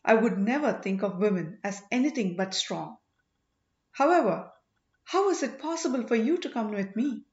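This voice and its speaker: A woman's voice: a little high, expressive, normal speed, darkish tone, close to an everyday voice. A woman voicing an earnest, courteous, lovestruck young king in exile in an audiobook story. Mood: astonished, courteous. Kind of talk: audiobook character dialogue. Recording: roomy, slightly dull home recording.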